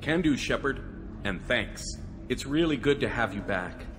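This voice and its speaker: A man playing an eager, gullible fan of a hero in a video game, excitable and credulous. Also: medium pitch, unhurried, in a large hall, background noise, natural voice. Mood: grateful, admiring.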